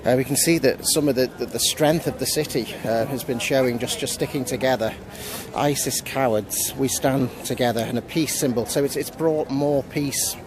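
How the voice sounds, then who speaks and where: Halting man reporting amid crowd noise. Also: mid-range voice. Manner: halting, repeating words as he goes. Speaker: amateur news reporter. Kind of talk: unscripted on-location news report. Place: outdoors in a busy city square. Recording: outdoor city crowd and traffic noise. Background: crowd and traffic.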